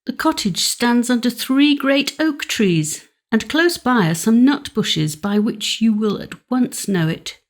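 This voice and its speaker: A woman's voice: a little high, lively intonation, measured pace, hardly any pauses, pitched above the performer's own voice. A woman voicing an innocent, polite little girl in a storybook, sweet and full of naive wonder.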